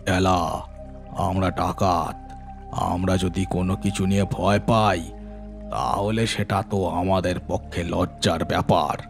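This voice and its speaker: Man acting as brooding bandit leader, cartoon, slow, deep, commanding, measured. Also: level tone, vocal fry, heavily acted. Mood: firm, resolute. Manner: measured, authoritative. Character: grim, brooding middle-aged bandit gang chief, commanding. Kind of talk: animated horror story dialogue. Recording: music bed behind voice.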